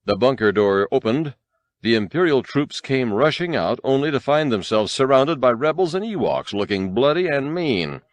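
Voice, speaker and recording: A man's voice: mid-range voice, lively intonation, normal speed, dry recording. A man narrating an audiobook in a dramatic, scripted storytelling style.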